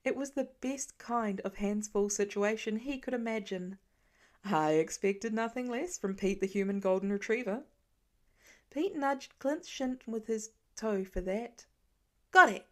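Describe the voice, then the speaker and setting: A woman reading scripted fiction as an audiobook narrator, in an expressive and careful manner. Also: medium pitch, measured pace.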